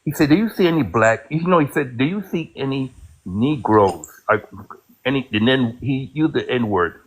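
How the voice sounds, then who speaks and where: A man in his fifties from Barbados, a military veteran, telling a story casually in a halting way. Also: medium pitch, animated, husky, low-quality recording. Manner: halting, many fillers and restarts while telling a story.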